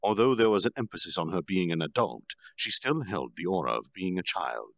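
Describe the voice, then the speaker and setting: A man reading a novel aloud on a stream, earnest, with quick apologetic asides. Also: deep voice, sing-song, gravelly, fairly bright tone, no echo. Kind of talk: casual storytime reading.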